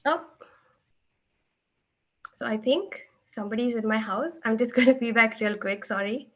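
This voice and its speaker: A woman's voice: on the high side, moderate pace. A woman presenting a book chapter to an online group from her room at home, explaining steadily with many "uh" fillers. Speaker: presenter and event organizer. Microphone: video call. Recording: dull lo-fi video call audio.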